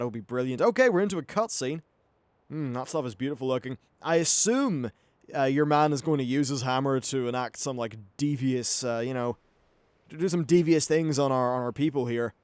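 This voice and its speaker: A man's voice: fairly high pitch, no echo. A young man giving a chatty, informal, mildly sweary commentary as he plays through a video game. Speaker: gaming YouTuber. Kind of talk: casual game playthrough commentary. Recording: clear background noise, game ambience.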